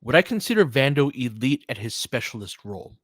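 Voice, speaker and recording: A man with a medium-pitched voice hosts a casual sports chat. He talks conversationally, working through his thoughts aloud and qualifying his takes. The sound is dry, with little room around it. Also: big pitch swings, fairly quick, a bit bright, no echo. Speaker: sports livestream host. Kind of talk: casual sports chat, answering listener comments.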